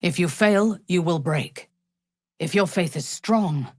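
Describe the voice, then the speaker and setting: A woman playing a commanding, ruthless zealot warrior in a video game, speaking with a low husky voice and a measured, imperious, menacing manner. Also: lively intonation, darkish tone, no echo. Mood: menacing, stern. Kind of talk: video game character voice lines.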